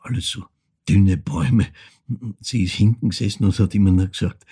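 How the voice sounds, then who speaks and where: Older man, radio drama monologue, haunted tender son, very raspy, low-pitched, halting, intimate storytelling. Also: dark tone. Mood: wistful, reflective. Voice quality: gravelly, husky. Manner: halting, emotive, drops into whispers. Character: aging son recalling his troubled mother, tender and haunted.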